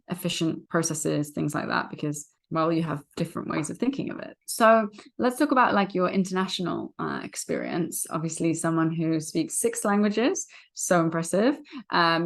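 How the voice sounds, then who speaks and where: A woman hosting an interview, affirming her guest and talking loosely with halting restarts. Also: sits low, creaky. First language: English. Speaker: podcast host. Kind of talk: casual interview talk.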